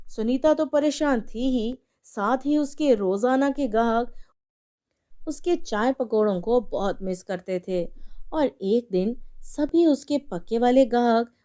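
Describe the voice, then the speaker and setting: A woman's voice: a little high, mellow. A woman narrating a scripted story as the storyteller.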